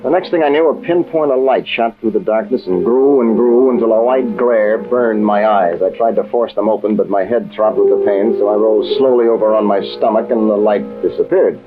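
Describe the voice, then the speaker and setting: An actor playing a brash, wisecracking American undercover agent in an old-time radio adventure, with a flippant, tough-talking delivery. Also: male voice, fairly low pitch, brisk, hardly any pauses, over music, natural voice. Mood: wry, hardboiled. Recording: tinny, band-limited old radio recording.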